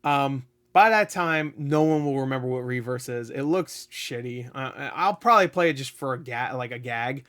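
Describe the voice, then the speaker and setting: A man hosting his own gaming channel, giving a rambling, excitable review with frequent laughs and the odd swear, his voice getting a little raspy. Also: medium pitch, moderate pace, hardly any pauses. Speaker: gaming video host. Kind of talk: casual unscripted review.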